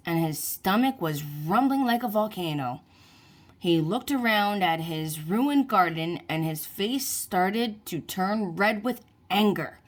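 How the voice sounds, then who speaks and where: Woman, children's storybook read-aloud, slow delivery, animated intonation, expressive. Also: lowish voice. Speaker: children's book reader.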